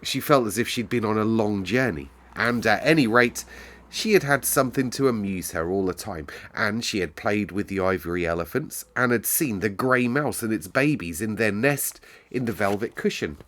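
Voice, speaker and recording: A man's voice: fairly low pitch, expressive, normal speed, a bit bright. A man hosting a story time, speaking as a storyteller addressing his listeners. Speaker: storyteller and host. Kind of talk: expressive read-aloud and casual chat to listeners.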